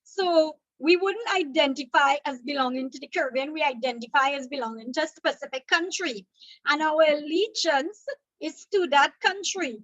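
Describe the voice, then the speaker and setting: A woman's voice: high voice, moderate pace, fairly bright tone, no echo, muffled recording. A woman from Trinidad and Tobago with a Trinidadian accent, teaching an exam revision lecture, explaining points step by step and checking in with "okay?".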